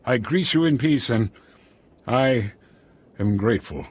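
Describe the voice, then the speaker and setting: Game role: humble alien elder chief. Rough, breathy voice, dragging pace, grave and formal. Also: male voice, mid-range voice, expressive. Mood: solemn, grateful. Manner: halting, formal, solemn phrasing. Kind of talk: video game voice acting.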